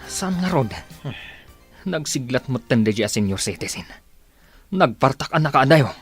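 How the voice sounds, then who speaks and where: An actor playing a sly, scheming petty thief in an Ilocano radio drama. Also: male voice, mid-range voice, sing-song, normal speed, faint music behind, natural voice. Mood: frustrated. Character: scheming petty thief who preys on churchgoers.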